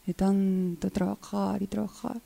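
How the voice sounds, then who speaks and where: A woman plays a weary worker in a radio monologue at a train stop. Her voice is very dark and husky, and she speaks slowly. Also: medium pitch, level tone. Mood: weary, pensive. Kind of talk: amateur radio theatre monologue.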